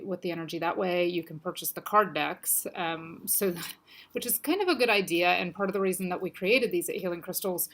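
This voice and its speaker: A woman with a somewhat low voice talks through a weekly crystal card reading in a gentle, unhurried way. Her voice sounds a bit stuffy and scratchy from allergies. She fills her pauses with soft ums. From United States. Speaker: crystal and oracle card reader. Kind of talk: casual spiritual card reading.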